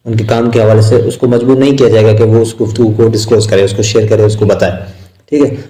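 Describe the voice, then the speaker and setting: A man with a Pakistani accent giving an informal law lecture, explaining point by point in a repetitive, halting way with English terms mixed in. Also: mid-range voice, warm tone, poor audio.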